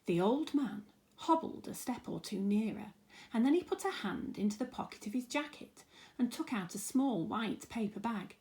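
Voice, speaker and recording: A woman's voice: on the high side, expressive, moderate pace, a little mellow. Woman reading a children's story expressively. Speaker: teacher reading a story aloud.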